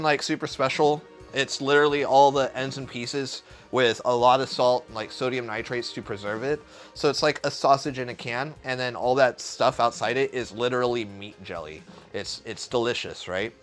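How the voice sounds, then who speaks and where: A man's voice: mid-range voice, fairly bright tone. A man who is a chef, cooking on a live stream and chatting with viewers in a crude, joking, profane way. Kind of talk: casual livestream cooking chat.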